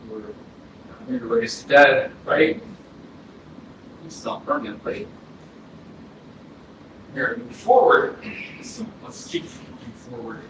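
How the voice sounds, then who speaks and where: A restless young dead man, acted by a man, speaks bluntly and with passion, his highish voice swinging widely. It is a staged play reading in a very echoey hall with clear background noise. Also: wildly swooping pitch, slow, long pauses. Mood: resigned. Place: arts center theatre, staged reading. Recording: distant, very echoey hall, noisy air conditioning.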